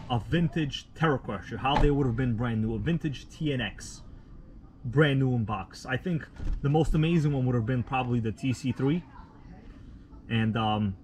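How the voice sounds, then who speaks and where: A man from Russia, a native Russian speaker, hosting a casual live stream outdoors at night, rambling in a relaxed, slangy way with many fillers. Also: mid-range voice, background noise. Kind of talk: casual live stream chat, reading viewer comments.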